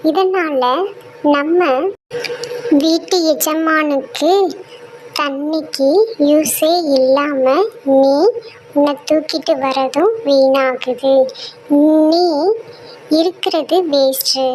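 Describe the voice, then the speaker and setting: A woman voicing a talking clay pot in a children's cartoon story, with a childlike, slow way of speaking. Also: sits high, fairly even intonation, darkish tone, a little hiss or hum, rough recording. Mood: boastful scolding. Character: boastful, scolding good clay pot, later sorry. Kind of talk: children's moral story acting.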